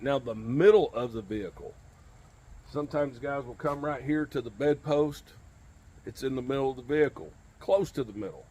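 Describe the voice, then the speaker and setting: A man in his forties with a husky, mid-pitched voice explains things as the host of a hands-on tips video. He is informal and plain-spoken, repeating his key points, and talks to a camera a step or two away outdoors in a driveway. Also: a little mellow. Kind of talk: informal instructional demonstration to camera. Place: outdoors in a home driveway beside a pickup truck.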